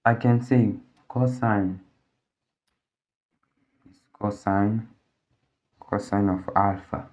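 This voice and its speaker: Slow halting man, native Portuguese speaker. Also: on the low side, fairly even intonation, darkish tone. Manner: halting, thinks aloud with many restarts and fillers. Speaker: physics tutor. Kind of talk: working through a problem on a board. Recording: dull lo-fi audio.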